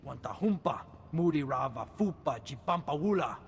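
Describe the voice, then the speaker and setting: A man's voice: fairly high pitch, lively intonation, normal speed, vocal fry, long reverb tail, slight background noise. An actor playing a businesslike male alien in a video game, speaking an invented chattering language.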